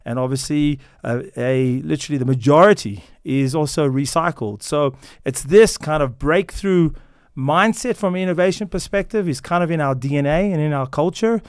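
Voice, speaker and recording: An American man answering interview questions as a company founder, earnest and measured, often pausing with fillers and restarting. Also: a little high, clean tone, no room sound, clean studio sound. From United States.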